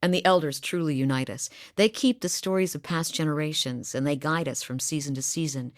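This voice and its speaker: A woman plays a seasoned huntress from a far northern village in a video game, middle-aged and plainspoken with a dry, wry humor. Her voice sits a little low, and the close studio recording is very dry with no room sound. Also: brisk, no echo, close to an everyday voice. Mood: wistful. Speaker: game character voice actor. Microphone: close studio mic, dry.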